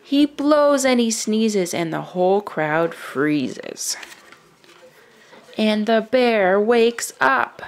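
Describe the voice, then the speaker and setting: A woman reading a children's picture book aloud in an animated, rhythmic storytelling manner. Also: mid-range voice, very slow.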